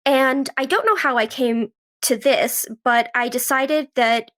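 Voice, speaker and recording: A young woman with a fairly high voice speaks as a guest in an interview. She talks casually and conversationally, telling her story with plenty of "like" and little quotes of what she said or thought. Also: fairly even intonation, unhurried, fairly bright tone. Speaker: podcast guest, actress and writer.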